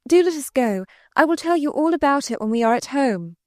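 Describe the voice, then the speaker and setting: A woman voicing a desperate, high-strung young woman in a storybook reading, pleading and defiant with emphatic exclamations. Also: animated, fairly quick, a little mellow, no echo, studio quality. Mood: urgent pleading. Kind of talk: dramatised audiobook reading.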